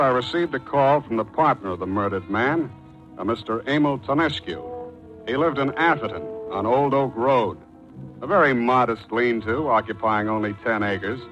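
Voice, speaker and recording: An actor playing a wry, clipped, world-weary private detective in the style of 1940s radio drama. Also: male voice, medium pitch, a bit bright, lo-fi sound, close to an everyday voice.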